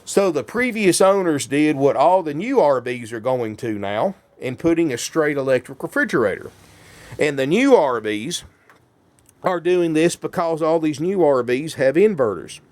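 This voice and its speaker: A man's voice: on the high side, sing-song, moderate pace, darkish tone. A man giving a folksy sales walkthrough of a motorhome while getting over a cold. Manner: folksy, keeps saying "folks". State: has a cold. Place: inside a motorhome.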